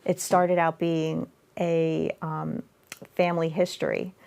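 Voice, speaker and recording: A middle-aged woman chatting as a guest in a local studio interview. Her voice sits low and has a gravelly, creaky texture. She rambles warmly with plenty of fillers and restarts. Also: little pitch movement, takes it slowly. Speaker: author and guest. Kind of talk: casual interview chat.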